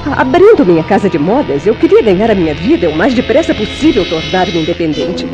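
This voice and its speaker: An actress playing an anguished, devoted young mistress under accusation in a radio soap opera, pleading and melodramatic, with a Brazilian accent. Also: female voice, mid-range voice, sing-song, fast, muffled recording, over music, natural voice. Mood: confessional, sad.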